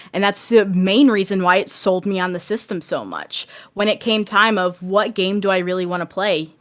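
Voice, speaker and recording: A woman's voice: on the low side, fairly bright tone. A woman in a casual podcast discussion, speaking as a guest game master in an explanatory, encouraging way.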